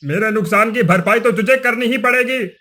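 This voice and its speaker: A man's voice: high voice, moderate pace, bright, forceful tone. A man voicing a cruel, greedy village headman in a cartoon story, sneering, accusing and blustering. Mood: angry, scornful. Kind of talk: cartoon moral story voice acting.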